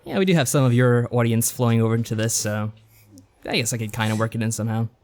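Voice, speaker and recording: A man's voice: medium pitch, steady tone, fast, a little mellow. A man co-hosting a podcast, talking casually and unscripted in an easygoing, agreeable way, as if thinking aloud. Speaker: podcast co-host. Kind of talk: casual unscripted podcast chat about games.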